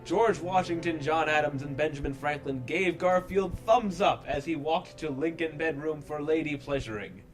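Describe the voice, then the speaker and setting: A man's voice: a little high, normal speed, hardly any pauses. Theatrical man narrating over music. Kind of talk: dramatic comedic reading of fan fiction.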